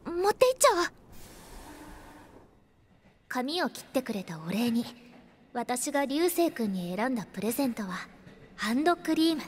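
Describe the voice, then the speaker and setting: A woman's voice: sits high, very animated, moderate pace, pauses often, slight background noise. An actress playing a timid, lovelorn young woman in a radio drama, wistful and introspective, moving between narration and dialogue. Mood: hopeful, tender.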